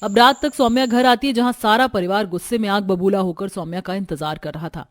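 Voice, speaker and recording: A woman's voice: mid-range voice, a little fast. A woman narrator reading a scripted cartoon family story. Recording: music behind the voice.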